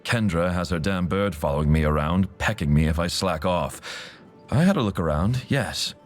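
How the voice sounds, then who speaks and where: A man's voice: low-pitched, animated, moderate pace, soft background music. A man playing a wry, scheming infiltrator in a video game, talking in a conspiratorial way. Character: wry, scheming male infiltrator, sardonic ally. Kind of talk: video game dialogue acting.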